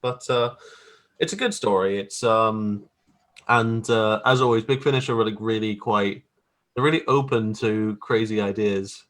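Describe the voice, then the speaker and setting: A man's voice: on the low side, unhurried, fairly bright tone. A man talking casually as an interview guest about his creative work, hesitant and thinking aloud. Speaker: guest, graphic artist.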